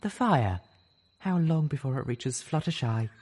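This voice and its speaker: A man voicing a refined, fragile unicorn mare healer who speaks softly and pleadingly. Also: mid-range voice, animated, measured pace, husky, pitched above the performer's own voice. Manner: soft, pleading.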